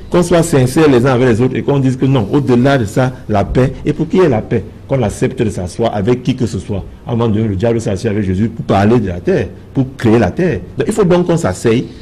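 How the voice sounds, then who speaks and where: A man's voice: mid-range voice, mellow, a little hiss or hum. A man with a Cameroonian French accent in a televised political debate, speaking emphatically and insistently and repeating phrases to drive his point home. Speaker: political party member as debate panelist.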